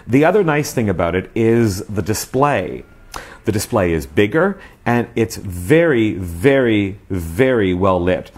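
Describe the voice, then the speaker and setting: Guy in his 40s doing a casual review show, kinda low voice, really up and down, chatty and emphatic. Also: sing-song, unhurried, a little mellow. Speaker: host of a radio review show. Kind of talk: casual product review to camera. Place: small living room, indoors. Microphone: lapel mic.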